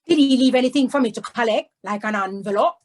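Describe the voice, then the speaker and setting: A woman voicing a cross male landlord, brisk and questioning, in a children's storybook. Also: on the high side, voice pushed up, lightly acted. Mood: impatient. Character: cross, annoyed Caribbean landlord, a grown man. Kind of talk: storybook read-aloud.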